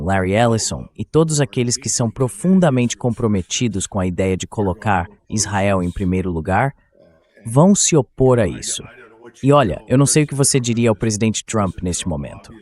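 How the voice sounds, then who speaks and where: A man's voice: lowish voice, a little mellow. An AI-voiced man reading a translated dub of an interview guest's political analysis. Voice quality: synthetic AI voice-over.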